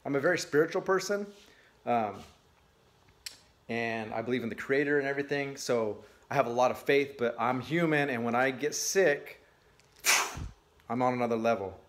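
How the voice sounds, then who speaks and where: A man's voice: medium pitch, normal speed, pauses often, a little hiss or hum. A man in his thirties talking casually and candidly to a phone camera in his garage, rambling with lots of fillers and restarts. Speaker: vlogger. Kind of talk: casual vlog. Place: cluttered garage, indoors. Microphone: phone at arm's length.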